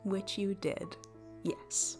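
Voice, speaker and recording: A woman's voice: mid-range voice, level tone, drawn-out words, a little mellow. Sentient starship, female voice, earnest, apologetic, hesitant, conversational, audio drama, faint music behind. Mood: sheepish, explaining. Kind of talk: audio drama dialogue and log entries.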